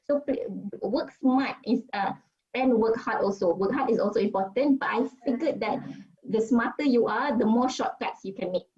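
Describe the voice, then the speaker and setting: A woman's voice: a little high, moderate pace, a little mellow, husky, muffled recording. A Malaysian woman doctor with a Malaysian English accent talks casually as an interview guest, mixing English and Malay and using many fillers. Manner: switches between English and Malay mid-sentence, many fillers.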